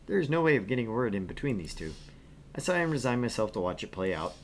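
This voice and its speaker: Animated man narrating a visual novel game. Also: mid-range voice, quick pace. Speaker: co-host of a gaming stream, reading the game aloud.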